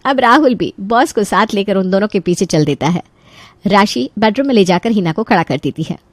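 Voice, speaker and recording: A woman narrating a scripted animated family story as its storyteller. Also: medium pitch, fairly quick, dry recording.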